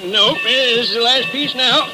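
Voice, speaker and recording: A man acting a folksy, unhurried small-town railroad hand in a 1940s radio drama, with a rural, colloquial way of talking. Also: extremely high pitch, over loud music. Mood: folksy, easygoing. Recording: noisy old radio recording, heavy hiss.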